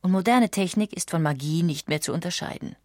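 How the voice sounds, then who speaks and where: Low-pitched woman narrating a documentary. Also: a little fast, no room sound, studio quality. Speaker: audiobook narrator.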